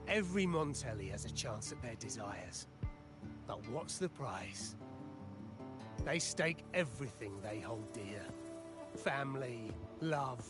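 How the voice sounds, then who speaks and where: A man's voice: mid-range voice, animated, slow pace, music in the background. An actor playing a weary, embittered old man in a video game, speaking in a solemn, rueful way. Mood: bitter, grieving. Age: older. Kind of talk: scripted video game dialogue.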